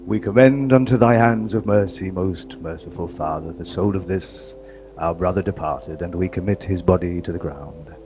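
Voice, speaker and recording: An actor playing a solemn priest reciting a measured graveside prayer in a comedy radio drama. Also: male voice, deep voice, close to an everyday voice. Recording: loud noise and hum.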